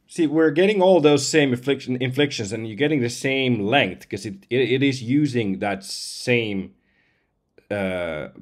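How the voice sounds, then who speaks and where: A man in his forties from Finland, a slightly hoarse voice-over artist giving a casual demo. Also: medium pitch, animated, unhurried. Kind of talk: casual demo talk to camera. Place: indoors at home computer, seen wearing headset.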